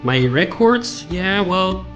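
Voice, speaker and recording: A man's voice: high-pitched, animated, slow. Middle-aged European man over music. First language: not English. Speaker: vlogger. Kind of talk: personal travel recollections to camera.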